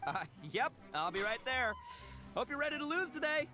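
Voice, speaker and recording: A man's voice: very high-pitched, very animated, moderate pace, pushed and bright, faint music behind, exaggerated voice. A man voicing a brash, boastful but good-hearted young oni gang leader in a video game, swaggering and slangy, stammering when flustered. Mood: cheerful. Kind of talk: animated video game voice acting.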